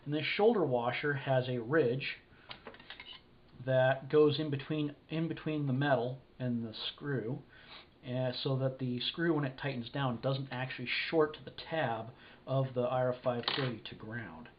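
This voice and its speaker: A man with a cold, sniffly, talking through an electronics assembly at his workbench in a halting, thinking-aloud way. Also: mid-range voice. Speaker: hobbyist electronics builder. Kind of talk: casual hands-on assembly walkthrough. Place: indoor workshop bench. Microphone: camera mic above workbench. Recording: dull lo-fi camera audio with faint hiss.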